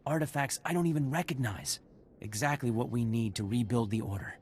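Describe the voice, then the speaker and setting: Game role: polite young Jedi man acted by a man, medium pitch, earnest, faint ambience behind. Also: a little fast, a little mellow, a little hiss or hum, lightly acted. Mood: grateful, earnest. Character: earnest, deferential young male Jedi explorer. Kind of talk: video game character acting.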